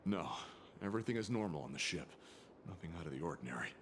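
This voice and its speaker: Flustered, swooping low-voiced guard. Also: male voice, animated, fast talker, slight background noise. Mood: flustered, deferential. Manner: hesitant, deferential. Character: flustered, eager, deferential male guard. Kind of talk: video game voice acting.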